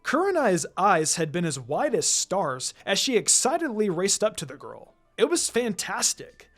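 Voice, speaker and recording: A narrator reading fan fiction aloud in an expressive, scripted storytelling style. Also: mid-range voice, normal speed. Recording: music behind the voice. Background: background music.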